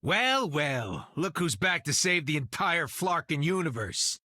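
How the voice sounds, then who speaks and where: An actor voicing a sarcastic small creature for a video game, snarky and brash. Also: male voice, on the high side, very animated, measured pace, pushed and bright, dry recording, clean studio sound, full character voice.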